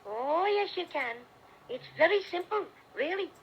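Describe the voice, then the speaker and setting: A man's voice: extremely high pitch, animated, moderate pace, fairly bright tone, a little hiss or hum. An actor playing a small, cheerful male teddy bear with a slight Irish brogue in a vintage radio play. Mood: friendly. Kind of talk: 1930s radio play acting. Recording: dull lo-fi vintage radio recording.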